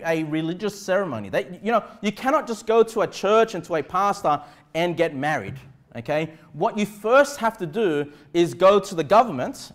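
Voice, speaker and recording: A middle-aged Australian man preaching a sermon as a pastor, emphatic yet conversational, often checking that listeners follow. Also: high voice. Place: indoor church pulpit in a small room. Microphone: clip-on lapel mic.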